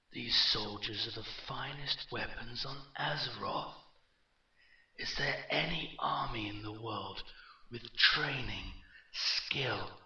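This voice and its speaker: A man performs a cruel undead warlord from a fantasy audiobook, mocking and commanding. The voice is slow and hard-edged, with a forceful bright bite. It is recorded a little dull. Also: on the low side, roomy sound, recorded from a distance, exaggerated voice. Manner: slow, mocking, rising to shouted intensity. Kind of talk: fantasy audiobook character dialogue.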